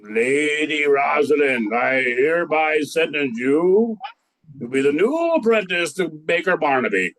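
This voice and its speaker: A man's voice: on the high side, very animated, a little slow, a bit bright, a little hiss or hum. An older man playing a solemn fairy-tale king in a radio play, speaking formally as if passing judgment. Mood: regal, solemn.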